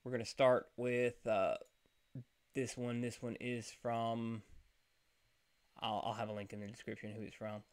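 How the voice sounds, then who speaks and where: Man, reaction host, blunt and irritated, mid-pitched voice, casual talk. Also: flat delivery, a little slow, pauses often, clear, resonant tone. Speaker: commentary host.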